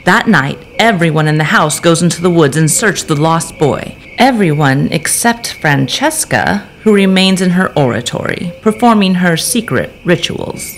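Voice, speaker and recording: A woman's voice: fairly low pitch, lively intonation, moderate pace, hardly any pauses, a little hiss or hum. A woman playing the storyteller of a Victorian gothic mystery, giving scripted audio drama narration. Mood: scene-setting.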